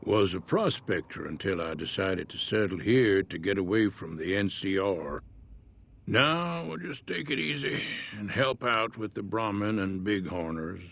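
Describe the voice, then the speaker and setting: An actor playing an easygoing older American prospector in a Western-style video game, folksy and laconic in manner. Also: male voice, fairly low pitch, animated, measured pace, gravelly, a bit bright, a little hiss or hum, exaggerated voice. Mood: easygoing, wary. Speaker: game character, townsfolk prospector. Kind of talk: video game character dialogue.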